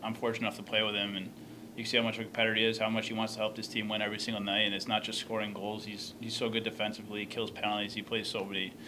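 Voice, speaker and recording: A young man in his twenties answering postgame questions at a press conference table microphone, quick and matter-of-fact with many fillers. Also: on the low side, completely flat delivery, background noise.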